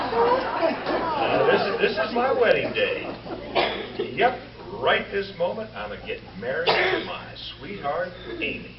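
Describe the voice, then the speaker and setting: Guy doing a slow folksy western marshal, radio comedy bit, muffled and noisy crowd. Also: high voice, full character voice. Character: slow-drawling, comically earnest Western town marshal on his wedding day. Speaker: actor in a radio comedy re-creation. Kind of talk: old-time radio comedy acting. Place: live stage show before an audience. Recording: roomy, muffled, distant live stage with audience noise.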